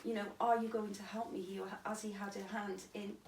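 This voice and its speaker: Welsh woman with a croaky gravelly voice, talking plain and matter-of-fact, echoey room. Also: medium pitch, level tone, brisk, a little mellow. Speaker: interviewee recounting a stabbing. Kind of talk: candid personal testimony. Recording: echoey room, distant mic, faint hiss.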